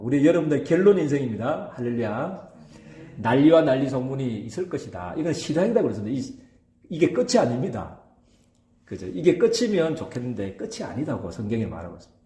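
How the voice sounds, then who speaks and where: A pastor preaching in a lively, rising-and-falling voice with a warm, mellow tone, speaking in a regional dialect. He keeps turning to the congregation to ask "right?" and fills the gaps with hesitations. The sound carries an echo from the church room. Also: fairly high pitch. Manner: emphatic, checks in with the congregation by asking "right?"; many hesitation fillers between phrases. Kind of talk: sermon.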